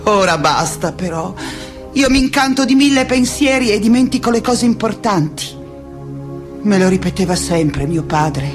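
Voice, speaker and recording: An actress playing a reflective, passionate woman scholar in a lyrical theatrical monologue, with deliberate dramatic pauses. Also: mid-range voice, animated, natural voice. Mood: wistful. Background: music.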